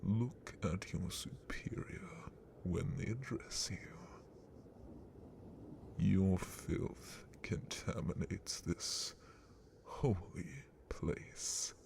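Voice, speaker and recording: A man playing a sadistic, haughty dragon captain of the guard in an ASMR roleplay, speaking with menacing contempt in a breathy, gravelly whisper. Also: low voice, a little mellow, a little hiss or hum, exaggerated voice. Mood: contemptuous. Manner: menacing, contemptuous, drawn-out theatrical delivery.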